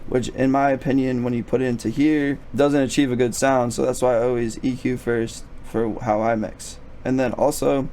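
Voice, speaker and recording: A man with a thin, raspy voice giving a casual, slangy music mixing tutorial, swearing freely and often checking in with "right?". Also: medium pitch, little pitch movement, normal speed, hardly any pauses, warm tone, a little hiss or hum.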